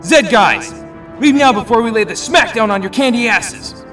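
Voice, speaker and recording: A man's voice: very high voice, lively intonation, moderate pace. An actor playing a brash, boisterous young male superhero leader in an audio drama. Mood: rousing. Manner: boisterous, hammy battle calls. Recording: distant, under loud music.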